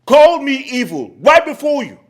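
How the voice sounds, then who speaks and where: Actor for an indignant uncle, high and animated voice. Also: male voice, moderate pace, husky. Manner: aggrieved, complaining, indignant. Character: aggrieved middle-aged uncle, company manager. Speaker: uncle running the family company. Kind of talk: film drama acting.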